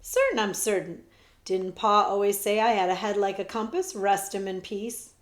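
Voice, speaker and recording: A woman voicing a bold barefoot teenage girl who talks in a high, rustic sing-song. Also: normal speed, echoey room, natural voice. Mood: confident.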